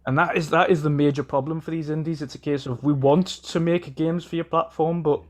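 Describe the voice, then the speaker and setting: A man's voice: on the high side, steady tone, a little fast. A man with a Geordie accent, co-hosting a casual gaming podcast and arguing his point earnestly, with frequent ums and restarts. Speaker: podcast co-host.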